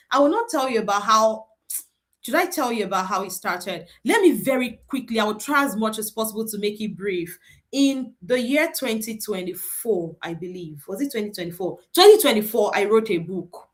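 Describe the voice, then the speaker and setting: A woman's voice: mid-range voice, fairly bright tone. A middle-aged Nigerian woman hosting a live online boot camp as a career coach, talking animatedly and repeating her points with prayerful encouragement.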